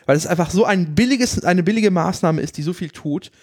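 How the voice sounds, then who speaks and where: A man's voice: high voice, brisk, a little mellow, husky, no echo, studio quality. A man in casual chat, giving firm opinions and telling a personal story.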